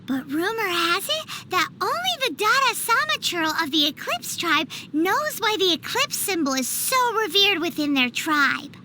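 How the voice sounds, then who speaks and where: Childlike fairy sidekick, cheerful, naive, cutesy video game delivery, squeaky, unhurried, noisy background. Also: female voice, very animated, pushed and bright, heavily acted. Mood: chatty, explaining. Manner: refers to self in third person, exaggerated cutesy delivery. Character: tiny childlike floating fairy companion, cheerful, gluttonous, naive.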